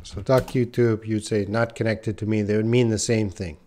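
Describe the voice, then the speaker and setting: A man's voice: on the low side, level tone, mellow. A man in his thirties from Western Canada with a light Canadian accent, patiently answering viewers' questions in a live English lesson. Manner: slow, patient explaining with many pauses. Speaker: English teacher. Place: small indoor room by a whiteboard.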